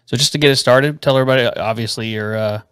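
A man's voice: mid-range voice, fast, a bit bright, clear, resonant tone, no echo, clean studio sound. A man co-hosting a podcast, asking his guest questions in an easygoing, friendly way over a video call. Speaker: podcast co-host. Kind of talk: casual interview chat.

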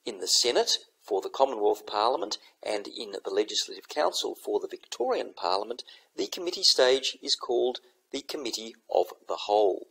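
A man giving a recorded lesson, explaining in a measured, methodical way. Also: fairly low pitch, big pitch swings, gravelly, a bit bright, breathy edge, rough recording. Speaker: teacher. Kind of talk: recorded lecture over slides.

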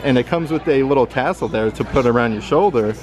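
An American man with a medium-pitched voice narrates casually, like a vlogger showing items to viewers. His delivery is upbeat and enthusiastic, in a show-and-tell manner. Music plays clearly behind his voice. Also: quick pace. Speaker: shopping vlogger. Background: background music.

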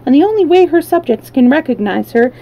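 A high-pitched, pleading male creature from a storybook, acted out by a woman. He is eager and anxious, a harmless soul who once pretended to be fierce, and explains himself earnestly in a mellow tone. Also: expressive, a little fast, poor audio. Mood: earnest explaining.

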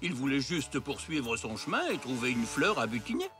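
A woman voicing a calm, kind grandpa zebra in a cartoon, patiently explaining things. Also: extremely low pitch, normal speed, faint music behind, exaggerated voice. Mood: gentle. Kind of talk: French cartoon dubbing.

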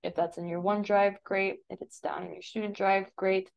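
An American woman teaching a step-by-step software tutorial in an informal, instructive way. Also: mid-range voice, flat delivery, a little fast, vocal fry, a little hiss or hum. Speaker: digital design teacher. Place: voice-over for a screen recording.

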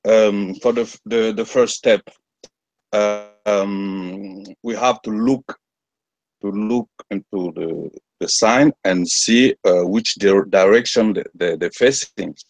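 A man's voice: fairly low pitch, slow pace, dry recording. A man with a French accent, whose first language is French, hesitantly describing hieroglyphs in an online class, searching for words with many restarts. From France. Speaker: class member.

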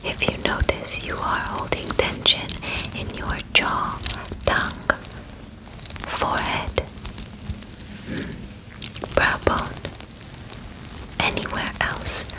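Calm, clinical female alien examiner, played by a young woman: ASMR roleplay, whispered, very slow, soft and close-miked. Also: natural voice, low-quality recording. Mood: calm, soothing. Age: 20s. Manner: slow, soft, formal, measured instructions. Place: small bedroom, indoors. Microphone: close mic, just in front of her.

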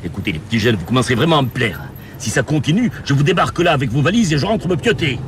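A man's voice: mid-range voice, sing-song, fast talker, lightly acted. Grumpy, sardonic taxi driver played by a man. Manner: curt, put-upon. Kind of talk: French radio drama acting. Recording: faint car and street noise.

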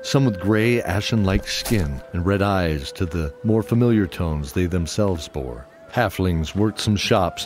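A man's voice: low-pitched, darkish tone, slight background noise. A man narrating a fantasy audio drama as scripted storytelling. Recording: music under the voice. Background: music.

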